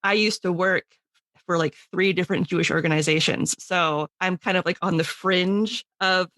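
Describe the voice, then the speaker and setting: A woman's voice: lowish voice, moderate pace, fairly bright tone, no room sound. A woman hosting a podcast, chatting casually in an enthusiastic, talkative way. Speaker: podcast host.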